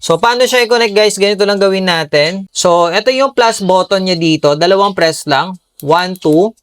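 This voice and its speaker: Filipino man, higher-pitched voice, casual tutorial. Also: moderate pace, a bit bright, smooth, no room sound, clean studio sound. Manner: conversational, often addressing viewers as guys. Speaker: product reviewer and tutorial presenter. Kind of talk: casual upbeat tech tutorial.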